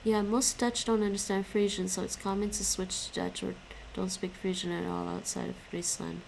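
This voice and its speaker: A woman's voice: mid-range voice, little pitch movement, moderate pace, gravelly, a little mellow, slight background noise, rough recording. A young woman chatting casually with stream viewers while she studies languages. She stops and restarts often and laughs a lot. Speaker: live streamer studying languages.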